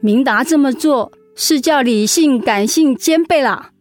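An actress playing a frank, caring confidante in a radio drama, with a chiding, persuasive manner. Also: female voice, high-pitched, a little slow, darkish tone, soft background music. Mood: chiding, affectionate.

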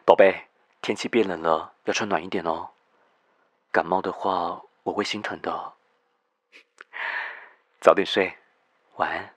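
A man's voice: deep voice, fairly even intonation, takes it slowly, pauses often, fairly bright tone, breathy edge, no echo, full character voice. A man playing a tender, romantic idol in an audio drama, speaking breathily as in an intimate voice message. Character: tender, romantic male idol whispering sweetly to fans.

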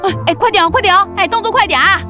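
A woman's voice: extremely high pitch, racing, music in the background. An actress playing a playful, teasing and doting witch aunt in a children's audio drama, with an exuberant manner. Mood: excited.

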